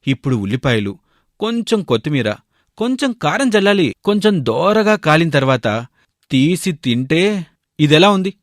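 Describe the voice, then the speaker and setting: A man's voice: on the high side, big pitch swings, moderate pace, no echo, clean studio sound. A man voicing a fussy, food-loving bachelor shopkeeper in a story, bossy and given to complaining.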